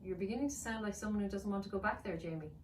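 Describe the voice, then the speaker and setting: A woman's voice: mid-range voice, racing, a little mellow, close to an everyday voice. A woman reading the part of a fearless, insistent young girl in a children's story, questioning and urging. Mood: challenging. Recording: roomy, distant mic, faint noise.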